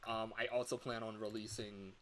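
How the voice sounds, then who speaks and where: A man talking casually on a livestream as a game developer, thinking aloud in a hesitant, halting way. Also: lowish voice, flat delivery, a bit bright, a little hiss or hum.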